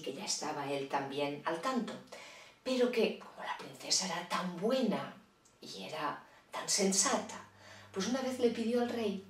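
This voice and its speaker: A woman's voice: fairly low pitch, reverberant, recorded from a distance. Playful woman telling a fairy tale. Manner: playful, chatty asides to listeners. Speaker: storyteller. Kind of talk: children's storytelling.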